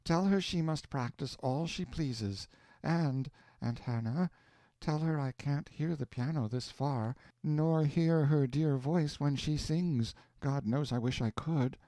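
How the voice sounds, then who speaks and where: A man voicing a gravely ill mother who speaks tenderly and wistfully of her daughter. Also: fairly high pitch, slow, mellow, no room sound, voice pushed up.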